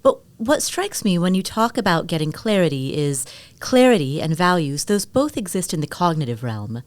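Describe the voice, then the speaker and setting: A woman podcast host asking thoughtful, analytical questions in a measured way. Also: on the low side, big pitch swings, no room sound.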